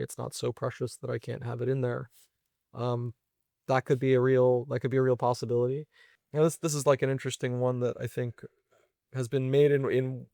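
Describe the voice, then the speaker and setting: Fast-talking man with a creaky edge. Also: medium pitch, a little mellow, no echo, clean studio sound. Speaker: guest, venture investor and software engineer. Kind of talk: casual technical conversation.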